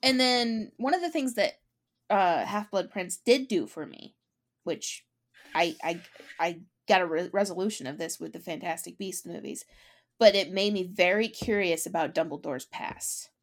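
Woman podcast host, excited and gushy, restarts a lot mid sentence. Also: fairly low pitch. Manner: enthusiastic, gushing, quick restarts. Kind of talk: casual chat.

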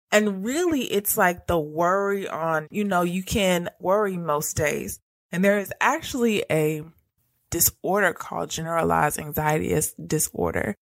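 A woman's voice: on the low side, dry recording. Earnest woman teaching about anxiety. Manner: earnest, repetitive phrasing, direct address. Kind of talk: teaching monologue.

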